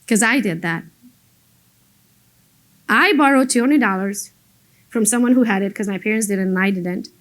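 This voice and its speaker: A woman's voice: mid-range voice, moderate pace, pauses often. A woman, speaking as a motivational business coach to a group in a blunt, emphatic, informal storytelling way. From abroad, emigrated to the United States as an adult. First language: not English. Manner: blunt, emphatic, conversational storytelling with mild swearing.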